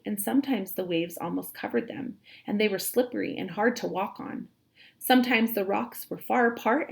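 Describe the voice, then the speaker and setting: A woman reads a children's story aloud like a teacher, expressively, with dramatic emphasis and drawn-out words. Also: medium pitch, vocal fry.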